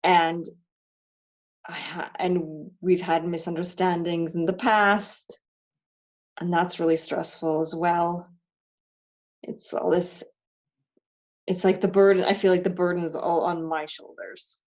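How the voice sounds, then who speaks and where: A woman, a parent, speaking candidly and haltingly about her frustrations in a reflective self-inquiry session. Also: lowish voice, fairly even intonation, darkish tone. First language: not German. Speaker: parent doing the worksheet.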